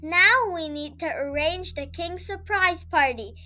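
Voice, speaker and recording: A woman's voice: extremely high pitch, unhurried, sharp tone, heavily acted. A woman voicing a wise old elf in a children's storybook, eager and emphatic. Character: wise old elf, enthusiastic scheming organiser.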